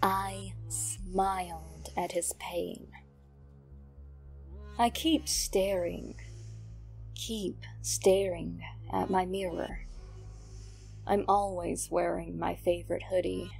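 A woman plays a cold, self-satisfied young killer in a horror audio story. The delivery is sinister and slow, with plenty of creak in the voice, as each journal entry is savored. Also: lowish voice, fairly even intonation, a little mellow, faint music behind, full character voice. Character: smug, cold young male killer writing his journal.

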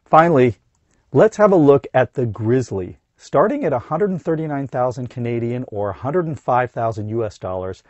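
A middle-aged man with a hoarse voice from a cold, reading a scripted camper van review inside a van. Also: mid-range voice, no echo, dull audio. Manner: steady, informative, teleprompter reading.

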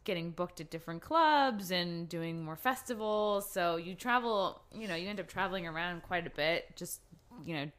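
A woman's voice: fairly low pitch, lively intonation, moderate pace, a bit bright. A woman in her thirties, a stand-up comedian, chatting casually as a podcast guest, with lots of fillers and self-deprecating jokes. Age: 30s. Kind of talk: casual interview chat.

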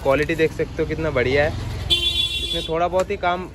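A man's voice: fairly high pitch, wildly swooping pitch, brisk. A young man filming a casual shop-tour vlog on his phone, asking a seller for prices and repeating each answer back to the camera. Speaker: vlogger touring an electronics parts shop. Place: busy street-side electronics shop. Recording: outdoor phone recording with crowd noise. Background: street crowd and traffic.

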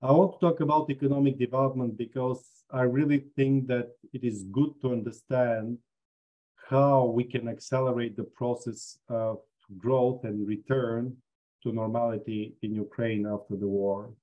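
A man's voice: medium pitch, steady tone, normal speed, muffled recording. A man from Bulgaria, a business school dean, giving an online lecture with frequent fillers. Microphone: video call.

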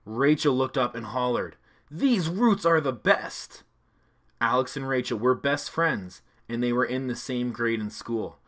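A man narrating a children's storybook read-along with clear, expressive delivery. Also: mid-range voice, normal speed, hardly any pauses.

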